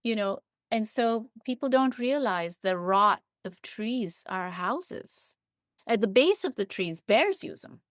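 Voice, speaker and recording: A woman's voice: a little high, animated, takes it slowly, no room sound, clean studio sound. A woman speaking as an interview guest, explaining things in a thoughtful, descriptive and earnest conversational way, repeating points for emphasis. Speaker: interview guest, biologist and writer.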